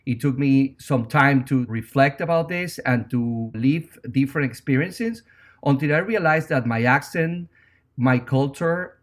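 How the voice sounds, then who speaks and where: A man from Venezuela with a Venezuelan Spanish accent, a native Spanish speaker, talking earnestly and reflectively as an interview guest. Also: medium pitch.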